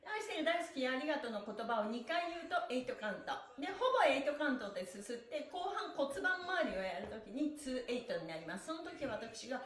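A middle-aged woman teaches exercise with a high, very lively voice. She is upbeat and chatty, speaking politely to viewers with bright exclamations. The sound is roomy, as if recorded in a small bare room a little way from the camera. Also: quick pace, few pauses. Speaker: stretching instructor and live-stream host. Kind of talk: cheerful exercise instruction to viewers.

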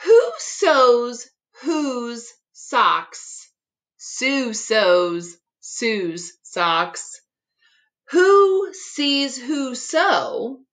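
Woman, children's read-aloud, very slow careful articulation, lively swooping pitch, expressive. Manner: deliberate, carefully articulated tongue twisters. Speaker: teacher reading aloud. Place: living room at home.

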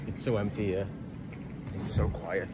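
A man's voice: fairly low pitch, little pitch movement, measured pace, very noisy. A young man with a Scouse accent, chatting casually to his phone camera while travelling through an airport and a hotel. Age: young adult. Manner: chatty, talks to camera on the move. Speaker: vlogger. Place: airport, plane and hotel, indoors. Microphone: handheld phone at arm's length.

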